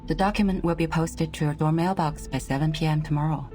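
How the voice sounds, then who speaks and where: An actress plays a composed female senior official in a video game. Her voice is low, measured and formal, with an exacting, bureaucratic manner. Faint music plays behind her. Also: fairly even intonation, darkish tone, natural voice. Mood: brisk, official.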